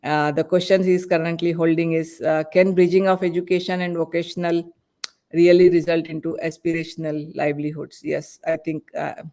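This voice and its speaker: A woman hosting an online panel discussion, introducing guests with hesitant, halting phrasing. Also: low voice, flat delivery, warm tone. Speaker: podcast host introducing guests.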